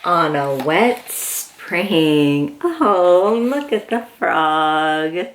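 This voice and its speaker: Very slow-paced woman reading to kids. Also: fairly low pitch, a little hiss or hum. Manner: excited, encouraging, exclamatory, talking to young children. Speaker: children's read-aloud host. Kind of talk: picture book read-aloud for young children.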